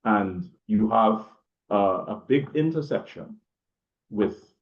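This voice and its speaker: A man from Trinidad and Tobago, a panelist explaining security roles in a halting way full of ums. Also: fairly low pitch, expressive, muffled recording. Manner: halting, many ums, thinks aloud. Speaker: panelist, IT consultant. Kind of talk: panel discussion answer.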